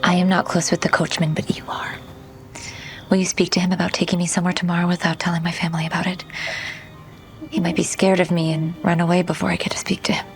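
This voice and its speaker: Woman acting young noblewoman, lowish voice, soft, earnest, kind, plucky, faint music. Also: little pitch movement, fast, natural voice. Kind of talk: audio drama character acting.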